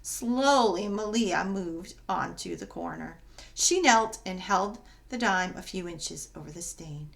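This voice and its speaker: A woman reading a children's story aloud as narrator, in an expressive, dramatic and emphatic way. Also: mid-range voice, takes it slowly, creaky. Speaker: story reader.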